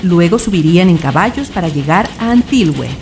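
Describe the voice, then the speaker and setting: Radio drama narrator: Chilean woman, slightly low register, noise clearly behind her. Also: lively intonation, a little fast, a little mellow.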